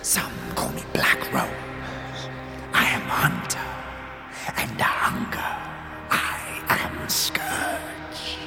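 A man voicing a menacing spectral hunter in an audio drama, with a breathy, hissing whisper and slow, commanding delivery. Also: lowish voice, wildly swooping pitch, music in the background, heavily acted. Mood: cold, menacing. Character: menacing spectral hunter, a hungry phantom of haze.

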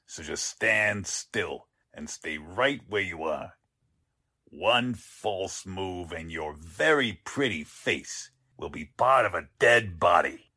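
Radio villain for a male actor: threatening bogus count, extremely slow delivery, forceful tone. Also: low voice, lively intonation, heavily acted. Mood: cold menace. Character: violent menacing male con artist posing as a Hungarian count. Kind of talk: 1940s radio drama acting.

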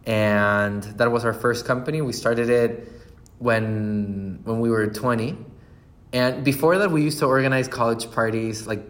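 A man's voice: mid-range voice, steady tone, measured pace. A man, a brand founder telling his story in a casual interview, laughing often and restarting his sentences. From Chile.

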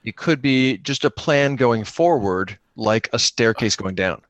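A man improvising his way through a tabletop game in casual chat, thinking out loud as he plays. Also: medium pitch, lively intonation, unhurried, no echo. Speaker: podcast player improvising a solo tabletop game.

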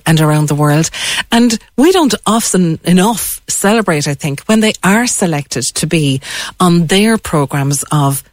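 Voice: mid-range voice, no echo. An arts show host on radio, talking in an effusive, conversational, chatty magazine style.

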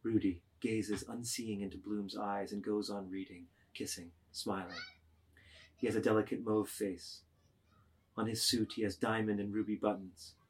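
Guy narrating a novel like stage directions, lowish raspy voice, measured phrasing. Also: little pitch movement, low-quality recording. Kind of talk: scripted literary narration, reading stage directions aloud.

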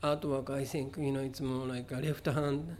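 A middle-aged man from Japan with a Japanese accent, answering interview questions about his art in a halting way, with many fillers and restarts. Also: mid-range voice, flat delivery, darkish tone, a little hiss or hum. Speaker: visual artist, interview guest. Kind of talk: conversational interview.

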